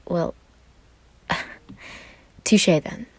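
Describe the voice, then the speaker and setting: A woman voicing a shy, soft-spoken young man in a fan fiction reading, halting and careful with words. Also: no echo. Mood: shy, teasing. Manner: small-voiced, halting, careful.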